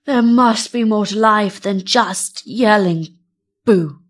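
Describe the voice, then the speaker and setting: Woman acting tall thin male skeleton, storybook character, slow dramatic delivery, forceful, emphatic. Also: mid-range voice. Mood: weary, fed up.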